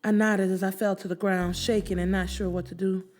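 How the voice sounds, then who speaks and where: A woman's voice: medium pitch, little pitch movement, a little fast, a little mellow. A woman narrating a story in the first person with dramatic, emphatic, slangy delivery. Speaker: audiobook narrator.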